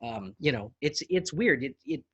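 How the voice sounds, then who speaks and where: High-voiced man chatting as a guest. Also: expressive, moderate pace, slightly rough, highs cut off. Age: middle-aged. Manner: chatty, self-deprecating, many fillers. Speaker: actor and guest. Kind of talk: casual interview chat.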